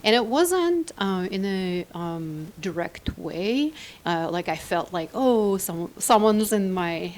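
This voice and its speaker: A woman's voice: mid-range voice, animated, slow, a little mellow. Reflective woman from Ukraine. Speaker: interview guest, animation filmmaker. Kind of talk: casual interview answers.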